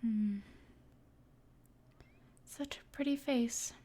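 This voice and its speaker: A woman playing a smug, teasing evil sorceress in an intimate ASMR roleplay, with a breathy edge and a haughty, mysterious manner. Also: a little high, extremely slow, mellow.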